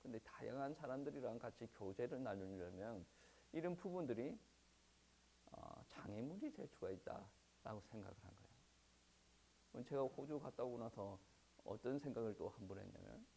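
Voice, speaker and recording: A male pastor with a Gyeongsang Korean accent preaching a sermon at a church service, asking the congregation rhetorical questions and pausing on "eo" fillers. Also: medium pitch, expressive, gravelly, warm tone, slight background noise, low-quality recording.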